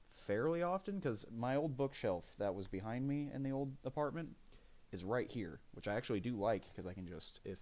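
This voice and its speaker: A man live streaming from his home desk, his voice raspy from phlegm, rambling casually with many fillers and restarts. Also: lowish voice, darkish tone. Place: desk corner of a home living room.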